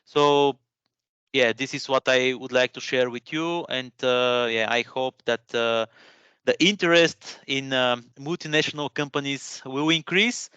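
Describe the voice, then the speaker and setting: A man's voice: medium pitch, a little slow, sharp tone, clean tone, no echo, dull audio. A man in his thirties who speaks English as a second language, presenting informally and encouragingly, often searching for words and repeating himself. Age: 30s. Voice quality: non-native English speaker. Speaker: guest presenter at a career webinar.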